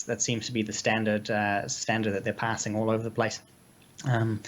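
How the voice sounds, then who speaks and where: A man co-hosting a political talk stream, slightly hoarse from illness and speaking in a halting way with many hesitations. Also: fairly low pitch. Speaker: co-host of a political livestream.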